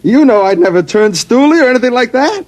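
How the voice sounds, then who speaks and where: An actor playing a cowardly gangster in an old-time radio drama, stammering and frantically pleading. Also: male voice, extremely high pitch, sing-song, fast. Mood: terrified, pleading. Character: cowardly, panicked gangster pleading for his life.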